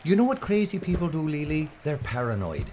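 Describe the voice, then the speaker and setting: An actor playing an excitable, grumbling, sarcastic man in an audio drama, with theatrical delivery. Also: fairly high pitch, a little fast. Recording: dull lo-fi audio, faint noise.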